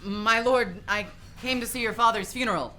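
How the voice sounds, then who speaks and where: A woman's voice: on the high side, normal speed, sharp tone, slight background noise. A woman playing a loyal, earnest young friend of a prince, speaking respectfully in a Shakespeare radio play. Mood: earnest, urgent.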